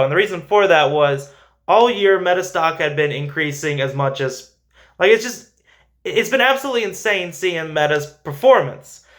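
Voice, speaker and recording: A man's voice: a little high, a bit bright. A man hosting a finance show, giving informal, emphatic stock commentary and repeating phrases for stress.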